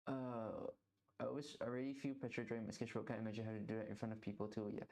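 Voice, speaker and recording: A man's voice: on the low side, level tone, fast talker, a little mellow. A young man streaming while he draws, chatting casually and rambling, often repeating words as he thinks. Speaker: artist streaming while drawing. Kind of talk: casual live-stream chat.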